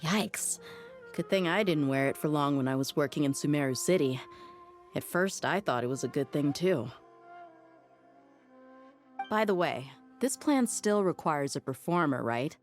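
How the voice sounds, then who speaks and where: An actress playing a bold, confident desert mercenary leader in a video game, casual and assertive. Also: female voice, low-pitched, lively intonation, unhurried, long pauses, faint music behind. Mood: frank, curious. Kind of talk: scripted game dialogue.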